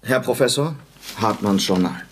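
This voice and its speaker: A man's voice: lowish voice, moderate pace, slight background noise, close to an everyday voice. An actor playing a defiantly obedient manservant in a radio play, barking his words in a strained voice. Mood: dutiful. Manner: barking, voice cracking upward under strain.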